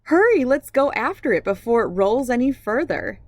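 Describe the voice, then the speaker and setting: A woman's voice: on the high side, big pitch swings, moderate pace, a little mellow, voice pushed up. A woman voicing eager, helpful townsfolk in a children's storybook, exclaiming with urgency. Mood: urgent, eager. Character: eager, helpful townsfolk joining the pumpkin chase. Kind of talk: children's storybook read-aloud characters.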